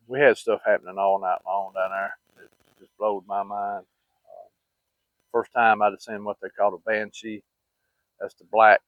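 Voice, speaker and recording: A man's voice: on the low side, no echo. A man with a Southern US accent tells personal stories in a casual, folksy, rambling, plain-spoken way. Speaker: vlogger. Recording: muffled, phone-like narrow-band audio.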